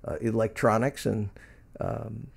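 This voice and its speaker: Gravelly older man hosting a livestream. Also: lowish voice, level tone, unhurried. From Philadelphia, USA. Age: 70s. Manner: rambling, many ums and restarts; reads and answers viewer chat. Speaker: livestream host. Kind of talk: casual livestream chat.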